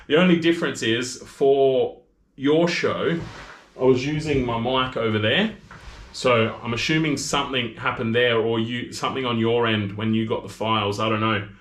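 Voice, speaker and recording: A man's voice: medium pitch, fairly bright tone. Chatty Australian man in an echoey room. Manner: chatty, rambling, reads out viewer comments. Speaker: maker hosting a livestream. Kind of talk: casual livestream chat. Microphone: camera audio.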